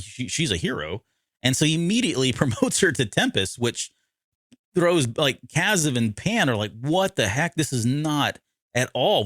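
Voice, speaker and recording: A man co-hosting a podcast, recapping a story in casual, halting conversation and often correcting himself. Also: mid-range voice, a bit bright, no room sound. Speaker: podcast co-host. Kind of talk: casual discussion recapping an audio drama.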